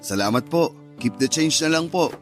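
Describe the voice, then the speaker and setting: A man acting a gentle, caring Filipino husband and father in a radio drama. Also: on the high side, lively intonation, normal speed, close to an everyday voice. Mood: light, fond. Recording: music bed under the voice.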